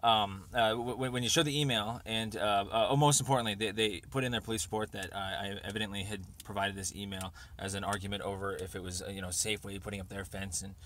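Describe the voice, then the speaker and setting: A man leaving an informal, rambling voicemail over the phone, speaking haltingly as he pieces his thoughts together. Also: lowish voice, fairly even intonation, a bit bright. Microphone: telephone.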